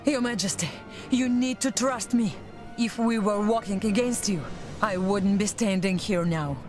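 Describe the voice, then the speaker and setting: A woman's voice: medium pitch, unhurried, over music, lightly acted. Woman acting as resolute, commanding female officer. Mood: earnest. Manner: urgent, commanding, earnest. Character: loyal, resolute female military officer and former security chief. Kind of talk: video game cutscene acting.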